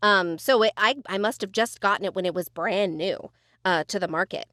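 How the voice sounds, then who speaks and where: A woman's voice: mid-range voice, moderate pace, fairly bright tone, no echo. Earnest woman giving advice, dry sound. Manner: earnest, advising, many "you know" fillers. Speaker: podcast co-host, voice actor. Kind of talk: casual chat.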